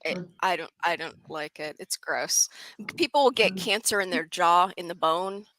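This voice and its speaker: A woman's voice: lowish voice, normal speed, pushed and bright, no room sound, poor audio. A woman chatting casually as a guest on a live stream panel, explaining things in a blunt way.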